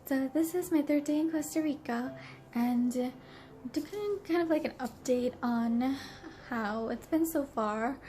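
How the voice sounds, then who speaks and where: A woman's voice: high voice, unhurried, dark tone, slight background noise. A young woman with a stuffy, congested nasal voice, chatting casually in a travel vlog, rambling and giggly with lots of fillers. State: congested from allergies. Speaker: travel vlogger. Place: hotel room.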